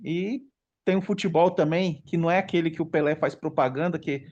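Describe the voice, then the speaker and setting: A man's voice: on the high side, brisk, a little mellow. Man in his thirties with dull, lo-fi sound. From Brazil. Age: 30s. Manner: nostalgic, rambling listing of old games. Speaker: podcast participant. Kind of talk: casual chat.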